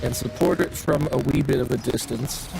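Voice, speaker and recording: A man's voice: mid-range voice, normal speed, creaky, rough recording. A man live-streaming a game with casual commentary, musing aloud as he thinks through his tactics. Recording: music behind the voice. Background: music.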